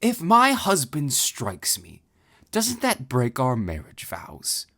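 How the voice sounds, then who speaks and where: A man voicing a love-struck, tearful young goddess in a high, whiny falsetto. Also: animated, unhurried, gravelly, exaggerated voice. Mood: angry resolve.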